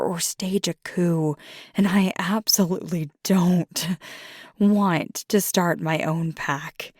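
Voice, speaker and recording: Casting: woman to play an earnest, ambitious young werewolf girlfriend for ASMR roleplay. Low-leaning gravelly voice, halting, confiding, unhurried delivery. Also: no echo, studio quality, heavily acted. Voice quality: husky, breathy. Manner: hesitant, soft-spoken, halting confiding. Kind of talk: intimate ASMR roleplay monologue.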